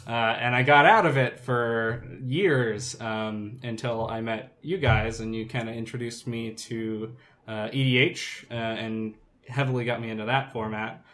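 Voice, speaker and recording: Man, mid-range pitch, casual podcast talk, halting delivery, offhand swearing. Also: steady tone, a bit bright. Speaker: podcast co-host. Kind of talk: casual chat.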